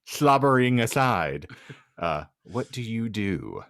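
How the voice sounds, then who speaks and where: Deadpan male podcast host with swooping delivery. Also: on the low side, takes it slowly, creaky, no room sound, studio quality. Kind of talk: reading out a hypothetical scenario and bantering.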